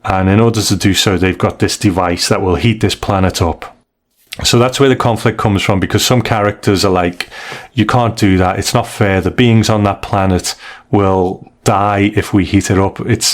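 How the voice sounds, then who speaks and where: A man's voice: sits low, fairly even intonation, normal speed. A man hosting a casual review discussion, retelling and explaining stories in a conversational, hedging way. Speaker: podcast host.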